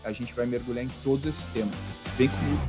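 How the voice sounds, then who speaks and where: A man's voice: medium pitch, a little fast, a little mellow, faint music behind. A Brazilian man hosting a podcast interview, talking casually and often restarting and repeating words. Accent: Brazilian Portuguese. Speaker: podcast host.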